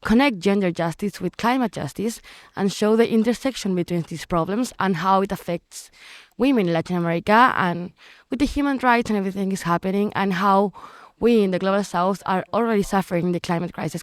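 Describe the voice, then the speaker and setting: Teenage woman, smooth clear voice, dry close sound, earnest and searching for words. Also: medium pitch, fairly quick, dry recording. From Chile. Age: teens. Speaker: guest. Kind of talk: casual interview.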